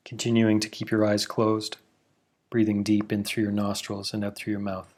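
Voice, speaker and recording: A man gently leads a guided meditation. His voice sits slightly low, and he speaks slowly and calmly, leaving long pauses between soothing instructions. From Canada.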